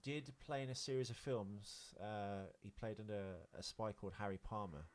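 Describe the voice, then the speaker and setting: A man's voice: fairly low pitch, moderate pace. A man co-hosting a podcast, chatting casually about films and celebrities in a rambling, sweary way. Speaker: podcast co-host.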